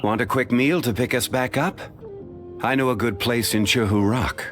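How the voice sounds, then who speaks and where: An actor voicing a dignified, ancient, learned man in a video game, speaking in a measured, formal and courteous way. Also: mid-range voice, fairly even intonation, faint music behind, lightly acted. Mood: calm, formal.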